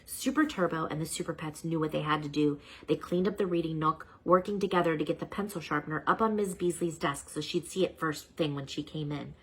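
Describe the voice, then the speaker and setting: A woman reading a children's storybook aloud as an animated storyteller. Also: deep voice, a little fast, hardly any pauses, slight background noise, low-quality recording. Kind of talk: expressive read-aloud narration.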